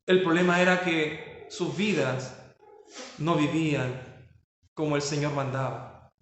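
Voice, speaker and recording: A man's voice: on the high side, steady tone, slow pace, a bit bright, heavy reverb, a little hiss or hum. A man preaching a sermon as pastor, stressing points with rhetorical questions.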